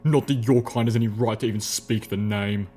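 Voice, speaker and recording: A man's voice: lowish voice, fairly even intonation, a little fast, dark tone, a little hiss or hum, natural voice. A man voicing a stern, suspicious old soldier pony in armour, clipped and accusing, in an audiobook story. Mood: suspicious, indignant.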